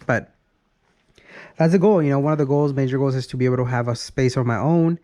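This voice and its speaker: A man's voice: mid-range voice, fairly quick, dark tone, dry recording. Casual man in his thirties, dry close sound. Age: early 30s. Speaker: car vlogger. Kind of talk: casual, rambling vlog voice-over.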